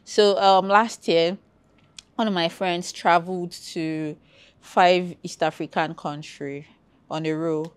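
Relaxed low-voiced Nigerian woman. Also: takes it slowly, clean tone, no room sound. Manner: relaxed and chatty, repeats points for emphasis. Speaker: podcast guest, travel content creator. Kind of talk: casual conversational interview.

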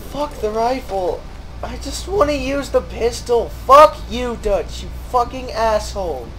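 A man's voice: very high voice, expressive, measured pace, pushed and bright. A man giving mocking, sarcastic game commentary, swearing and ranting as he goes. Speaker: gaming video host. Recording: noisy, game sound behind.

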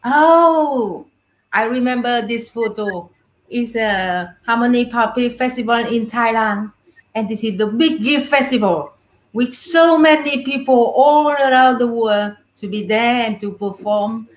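A woman's voice: on the high side, unhurried. A middle-aged woman with a Vietnamese accent, telling stories casually as an interview guest in non-native English. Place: indoor room full of puppets, on a video call. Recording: roomy echo on a video call.

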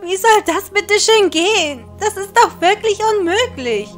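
A woman's voice: very high voice, expressive, measured pace, faint music behind. A woman playing a principled, sceptical goddess of justice in an audio drama, speaking earnestly. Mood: doubtful.

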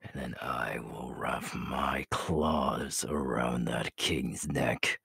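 A man playing a ruthless, menacing young werewolf alpha in an ASMR audio roleplay, gravelly and breathy, slow and boastful, often dropping to a whisper. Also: very deep voice, steady tone, sharp tone, no echo, clean studio sound, full character voice. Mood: menacing, ambitious.

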